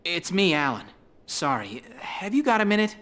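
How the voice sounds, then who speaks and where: A man's voice: high-pitched, big pitch swings, moderate pace, a bit bright. An actor playing a timid, flustered young male lab assistant with a hesitant manner in a video game cutscene. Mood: nervous, awkward. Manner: stammering, hesitant. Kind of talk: English dubbed video game cutscene acting.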